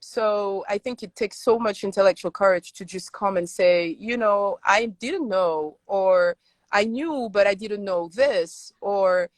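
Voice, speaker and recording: A woman's voice: mid-range voice, a little slow, no echo. Interview guest, woman from Iran, native Farsi speaker, earnest and emphatic, dry close sound. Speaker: interview guest, advocacy founder. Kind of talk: conversational interview.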